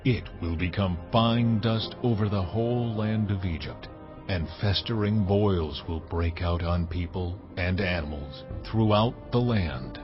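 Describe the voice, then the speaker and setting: An actor playing a solemn, commanding God in a dramatized audio Bible, proclaiming in a stern manner. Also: male voice, sits low, measured pace, music in the background. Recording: dull lo-fi audio with background noise.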